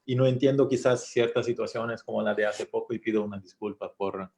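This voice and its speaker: Man, swiss, non-native spanish speaker, low voice, measured, hesitant fillers, interview guest. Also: fairly even intonation, vocal fry, a little mellow. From Switzerland. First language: not Spanish. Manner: measured, frequent "eh" pauses. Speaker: guest, brain-training coach. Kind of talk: radio interview.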